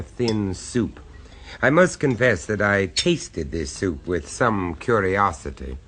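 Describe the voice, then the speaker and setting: A genteel dinner guest tells the tale in a vintage radio drama. His voice sits very low but moves widely, wry and faintly amused as he doubts his host. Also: a little hiss or hum. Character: skeptical English dinner guest, first-person narrator. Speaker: narrator and diner. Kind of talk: 1970s radio drama acting. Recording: old radio broadcast recording.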